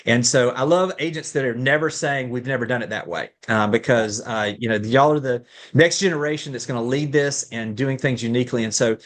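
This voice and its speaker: A man hosting an interview, opening with an enthusiastic, pitchy delivery. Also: brisk, fairly bright tone. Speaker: podcast host.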